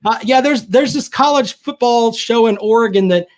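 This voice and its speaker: A man with a Southern US accent, a guest on a sports podcast, telling stories in an animated way. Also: very high voice, normal speed. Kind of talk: casual chat.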